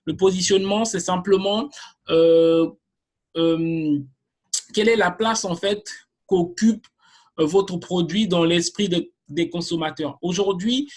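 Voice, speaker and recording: A marketing trainer giving a live online class, explaining basics step by step to viewers, often restarting sentences and pausing on filler sounds. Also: mid-range voice, darkish tone. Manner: addresses viewers directly and explains points step by step.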